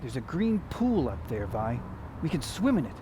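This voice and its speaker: A man's voice: mid-range voice, big pitch swings, moderate pace, close to an everyday voice. An actor playing a restless, kindhearted young man in a radio drama, with a hesitant, folksy way of talking. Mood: eager, dreamy. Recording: faint background noise.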